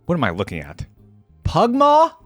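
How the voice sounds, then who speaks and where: A man's voice: sits high, huge pitch swings, normal speed, no room sound, soft background music. A young man hosting a casual reaction video, thinking aloud as he watches, with frequent exclamations and laughs.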